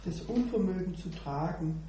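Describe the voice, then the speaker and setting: A woman's voice: on the low side, animated, normal speed, dark tone. Hesitant woman in an echoey hall. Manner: hesitant, many "äh" fillers, laughs easily. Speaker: church member sharing. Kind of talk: informal devotional sharing. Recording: echoey room mic from far off with background noise.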